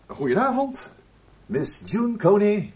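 An actor playing a courteous, quietly sly government investigator in a radio play, with a husky voice and a hesitant, musing way of questioning. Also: male voice, high-pitched, sing-song, slow pace, full character voice. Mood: courteous, probing. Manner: hesitant, polite, musing, repeats himself. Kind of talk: Dutch radio drama acting.

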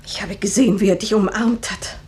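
An actress playing a bitter, jealous sister in a radio drama, speaking with menacing emphasis. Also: female voice, medium pitch, fairly even intonation, fairly quick, a little mellow, a little hiss or hum, natural voice. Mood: jealous, threatening.

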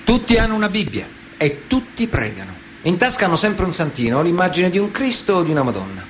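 Man narrating with widely swinging pitch. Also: sing-song, quick pace, a little hiss or hum. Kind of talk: scripted dramatic narration.

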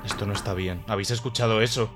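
A man's voice: lowish voice, fairly even intonation, quick pace, music in the background, natural voice. A man playing a nervous, hesitant young trainee cameraman, reluctant and easily frightened, in a horror audio drama. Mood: uneasy. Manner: hesitant, anxious.

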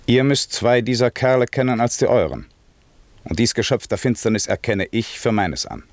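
A man playing a dignified, commanding aging duke and magician in a classic radio play, speaking verse in a measured, stately way. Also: mid-range voice, lively intonation, no room sound, natural voice. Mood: stern.

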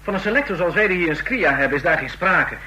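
Pedantic regime official in radio drama: high-pitched, extremely sharp, formal. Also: male voice, expressive, fast talker, exaggerated voice, low-quality recording. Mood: critical, officious. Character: officious male bureaucrat of a police state. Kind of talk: radio play acting.

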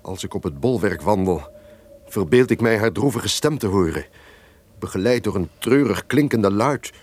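Deep-toned, tormented castle lord. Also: male voice, lively intonation, moderate pace, a little mellow, breathy edge. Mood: tormented, remorseful. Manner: theatrical, declamatory, swinging from barking orders to brooding. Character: guilt-ridden, suspicious castle lord, commanding and tormented. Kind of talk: old-fashioned radio drama acting. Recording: noisy old radio play recording.

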